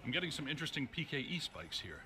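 A man's voice: mid-range voice, big pitch swings, fast, lightly acted. An actor playing a studious, reserved male scientist in a video game, technical and matter-of-fact. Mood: curious, detached. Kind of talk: game dialogue. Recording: clear background noise.

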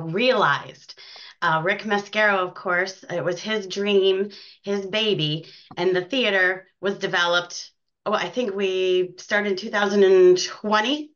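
A woman's voice: mid-range voice, measured pace, fairly bright tone, dull audio. An American woman chatting casually as an interview guest about her work as a theater co-director.

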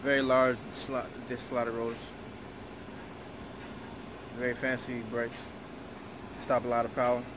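Man, car vlogger voice: flat and unhurried, reads specs off the sticker, filmed on a handheld camera in a showroom with steady hum behind. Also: medium pitch. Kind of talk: casual walkaround commentary.

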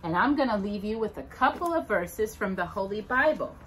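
A middle-aged woman hosting a children's storytime, reading aloud in an expressive, deliberate, dramatic way with emphatic pauses. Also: mid-range voice, slight background noise, poor audio. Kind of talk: expressive read-aloud of Bible verses and a children's Christmas book. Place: living room at home, indoors by a brick fireplace.

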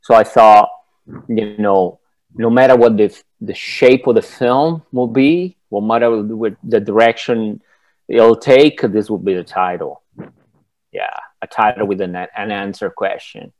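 An Italian-accented man in his forties answering interview questions from home, his lowish voice picked up dry and close by earphone mics. He pauses and restarts often, feeling his way toward each thought. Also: darkish tone, no echo, low-quality recording. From Italy. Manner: thoughtful, halting, searches for words with many restarts. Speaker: film director, masterclass guest. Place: home room, indoors. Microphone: wired earphones with mic on a video call.

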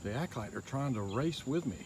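A man filming from a small boat on a river outdoors, giving excited, quiet running commentary into his handheld phone. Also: mid-range voice, fairly quick. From Northeast Florida. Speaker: fishing vlogger. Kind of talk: casual narration while filming. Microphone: handheld phone camera. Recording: outdoor noise, insects behind.

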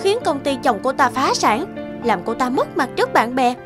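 A woman voicing a gossipy, spiteful young female classmate in a cartoon, goading and sharp-tongued. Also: high voice, sing-song, moderate pace. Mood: urging. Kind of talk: cartoon voice acting. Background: background music.

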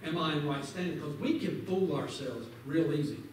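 A man's voice: on the high side, a little fast, darkish tone. A man teaching a Sunday school lesson, fervently quoting scripture and urging his listeners directly, in a large church sanctuary. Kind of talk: preaching Bible lesson. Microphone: far camera at back of pews. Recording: echoey sanctuary from far off, faint noise.

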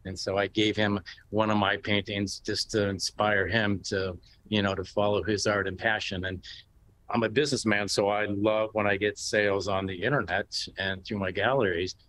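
A man's voice: on the low side, little pitch movement, normal speed, fairly bright tone, a little hiss or hum, low-quality recording. An American man in his sixties answering interview questions casually over a video call. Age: 60s. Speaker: interview guest, painter. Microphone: video call, sitting back from the device.

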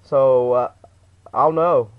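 A man's voice: mid-range voice, steady tone, takes it slowly, slight background noise, close to an everyday voice. A young man putting on a slangy, stammering, aggrieved customer for a prank phone call. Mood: plaintive. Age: young adult. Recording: dull lo-fi webcam audio.

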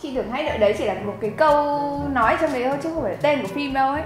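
A woman's voice: sits high, racing. A woman, chatting casually and playfully in a guessing game. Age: 20s. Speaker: contestant in a cartoon guessing game. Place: indoor studio, seated at a laptop. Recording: roomy echo, music behind.

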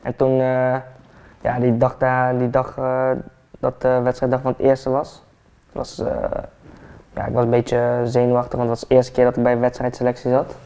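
An eighteen-year-old man, a football player, chatting relaxed and hesitant with many fillers while getting a haircut in a barbershop. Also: mid-range voice, moderate pace, clear, resonant tone. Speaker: football player, interview guest. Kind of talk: casual interview chat. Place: barbershop, indoors, seated in barber chair.

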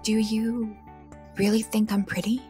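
A woman voicing a shy, reserved young princess cat in a cartoon dub, breathy and hesitant, easily flustered. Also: medium pitch, flat delivery, measured pace, faint music behind, natural voice. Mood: bashful. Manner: soft, hesitant, stammering. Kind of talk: cartoon comic dub acting.

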